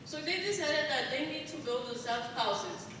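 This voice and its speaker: A woman's voice: high voice, lively intonation, moderate pace. A young female student giving scripted fairy tale narration in a live school play. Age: young teen student. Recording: echoey hall from far off, noisy.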